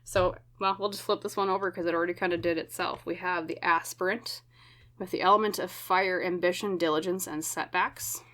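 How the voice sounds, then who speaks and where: A woman with a lowish voice leads a tarot reading for her viewers. Her voice is slightly scratchy, and she speaks gently and reassuringly, often checking in with a soft "okay?". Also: fairly even intonation. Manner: gentle, slow, reassuring, with many fillers and tag questions. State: scratchy voice from smoke. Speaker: tarot reader. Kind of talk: pick-a-card tarot reading.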